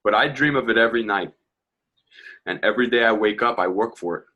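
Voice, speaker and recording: A man's voice: fairly low pitch, brisk, muffled recording, lightly acted. An actor playing a proud, ambitious, earnest young working man in a dramatic play reading. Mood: defiant.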